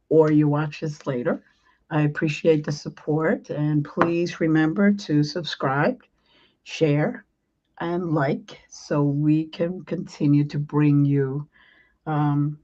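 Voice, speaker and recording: A hoarse woman hosting her show, her voice a little low and strained. She chats loosely with many ums and clears her throat. The sound is dull and lo-fi, as if over a video call. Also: darkish tone. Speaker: host.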